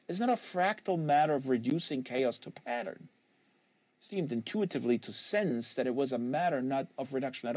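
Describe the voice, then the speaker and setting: A man narrating literary fiction aloud in an expressive, deliberate manner with emphatic phrasing. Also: a little high, dry recording. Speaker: audiobook reader hosting a live reading series.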